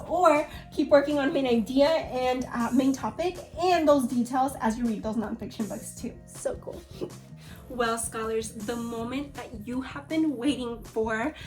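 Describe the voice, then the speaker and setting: A woman's voice: sits high, darkish tone. A woman teacher leading a read-aloud lesson for young children, speaking in an encouraging way. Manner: encouraging, addressing young pupils. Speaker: elementary school teacher.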